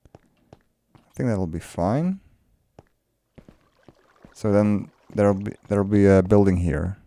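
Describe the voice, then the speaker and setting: A man's voice: on the low side, slow pace, dark tone, no echo. A man whose first language is Dutch, casually commenting while he builds in a game, musing aloud and laughing often. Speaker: let's play creator.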